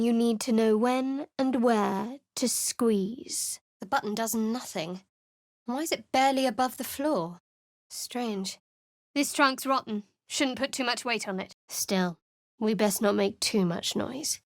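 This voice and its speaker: Sardonic young cleric, guarded and cool, higher voice, dry close studio sound. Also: female voice, a little slow, no echo. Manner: dry, wry and cool, with sly sarcasm. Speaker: party companion in a fantasy video game. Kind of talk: recorded game voice lines. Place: studio booth. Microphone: close studio mic.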